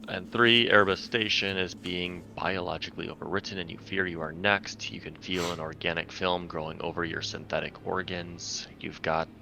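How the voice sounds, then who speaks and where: A man's voice: sits low, creaky, bright, forceful tone. A man playing a tabletop game, reading dramatic character text aloud. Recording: faint background noise and room ambience.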